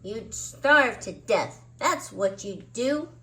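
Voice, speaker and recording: A woman's voice: on the high side, animated, slow, pushed and bright, pitched above the performer's own voice, exaggerated voice. A woman voicing a frustrated, determined young boy in an emphatic, exasperated storybook read-aloud. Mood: angry.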